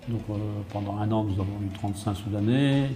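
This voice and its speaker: A man's voice: lowish voice, a little fast. A man explaining things as a town mayor in an interview, matter-of-fact, listing points and often rephrasing himself. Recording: roomy, echoey sound under guitar music.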